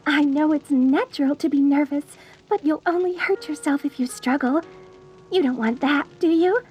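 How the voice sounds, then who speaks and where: Woman playing a high-voiced, lovestruck deranged mortician bride. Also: moderate pace, a little mellow, soft background music, natural voice. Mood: cheerfully menacing. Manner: theatrical, cooing, genteel and gushing with endearments. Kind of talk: dark comedy audio roleplay, addressed to the listener.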